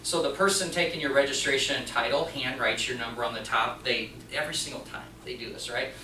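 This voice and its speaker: A man giving an informal instructional talk, telling anecdotes in an animated, conversational way as the host. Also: mid-range voice, quick pace. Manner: animated storytelling, acting out dialogue. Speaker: speaker and channel host. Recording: roomy echo, distant mic, faint noise.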